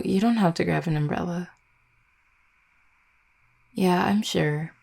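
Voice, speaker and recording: A young vampire girlfriend, played by a woman, speaks softly and hesitantly in an intimate audio roleplay. Her low voice is full of gravelly fry, dark and mellow, with little melodic movement. She sounds tender and a little anxious. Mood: gentle, reassuring. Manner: hesitant, halting, soft-spoken.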